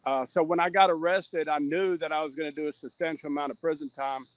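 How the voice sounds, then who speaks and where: A man with a slightly high-pitched voice shares his own story in a podcast conversation. He is earnest and candid, and he often loops back to repeat what he has just said. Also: steady tone, fast talker, no echo. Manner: earnest, circles back over the same point with repetition. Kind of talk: candid personal testimony in conversation.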